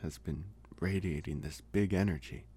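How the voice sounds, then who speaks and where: Man acting a husky, deep young college vampire. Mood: reflective, hesitant. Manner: hesitant, halting, gentle, with pauses. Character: lonely, soft-spoken young male college vampire, politely devoted. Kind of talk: ASMR roleplay, intimate one-sided dialogue.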